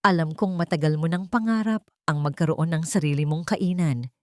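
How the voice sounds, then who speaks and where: A woman's voice: low-pitched, normal speed, darkish tone, no room sound, natural voice. Earnest devoted businessman voiced by a woman. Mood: tender, earnest. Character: devoted, supportive wealthy businessman in love.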